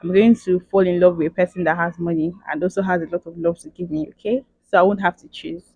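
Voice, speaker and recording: Young woman, twenties, lowish voice, dry close sound, playful chat, "okay" tags. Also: quick pace, dry recording, muffled recording. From Nigeria. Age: 20s. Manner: chatty, playful, emphatic, with frequent "okay" and "like" tags. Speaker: vlogger. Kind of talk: casual Q and A vlog.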